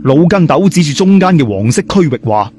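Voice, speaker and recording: A man's voice: a little high, very animated, brisk, a little mellow, faint music behind. A man with a Hong Kong Cantonese accent narrating a story as a dramatic audiobook.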